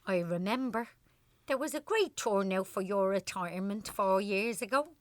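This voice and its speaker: An actress playing an anxious older Irish wife, a constant worrier, in a radio play. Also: female voice, medium pitch, normal speed, dry recording, lightly acted. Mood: worried, wistful.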